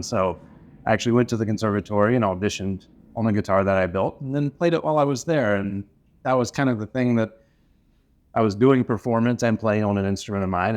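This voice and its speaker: A man in his thirties, originally from North Carolina, speaks with a deep, low voice. He answers interview questions about his work as an instrument maker in a relaxed, thoughtful way, often pausing with "uh" to gather his words. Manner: easygoing, thoughtful, lots of "uh" pauses.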